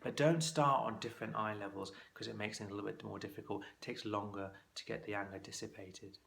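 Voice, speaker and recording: A man's voice: fairly low pitch, steady tone, fairly quick, gravelly, a bit bright. A man teaching as a clinical exam course tutor, giving practical coaching tips in a conversational instructional talk to camera.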